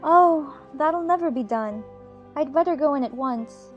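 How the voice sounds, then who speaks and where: A woman's voice: high voice, a little slow, highs cut off, faint music behind, pitched above the performer's own voice. A woman voicing a polite, curious young girl in a dramatized children's audiobook, earnest and wondering.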